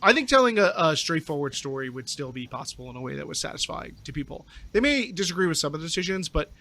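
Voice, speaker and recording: Higher-voiced man chatting on a podcast. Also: sing-song, quick pace, bright, forceful tone. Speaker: podcast panelist. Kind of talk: casual podcast discussion.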